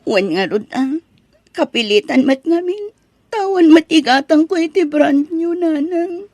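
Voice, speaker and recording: Woman doing young abandoned single mom in ilocano radio drama, high pitched, pleading and ashamed. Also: lively intonation, unhurried, a little mellow, no echo, clean studio sound. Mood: subdued, resigned. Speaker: actor in a radio comedy drama.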